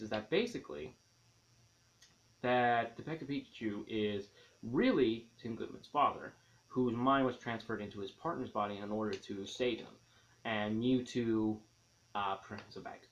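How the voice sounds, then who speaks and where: Guy doing a movie review vlog, regular pitched voice, excited and rambly, kinda muffled lofi mic. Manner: enthusiastic, rambling, repeats and rephrases himself. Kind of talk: casual video movie review.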